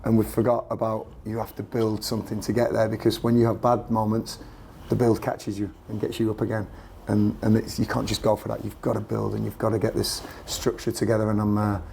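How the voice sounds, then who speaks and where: Croaky, gravelly football manager. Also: male voice, lowish voice, dark tone, slight background noise. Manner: earnest, repeats himself while searching for words. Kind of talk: post-match press interview.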